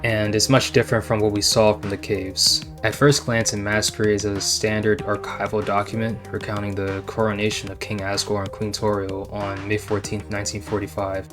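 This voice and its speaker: A man hosting a video essay, moving between scripted commentary and casual asides. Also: on the low side. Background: background music.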